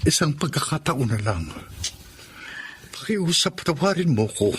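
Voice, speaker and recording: A man's voice: on the high side, sing-song, unhurried, slight background noise. A Filipino man playing a remorseful, desperate husband begging for forgiveness in a radio drama. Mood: pleading, desperate. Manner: pleading, imploring.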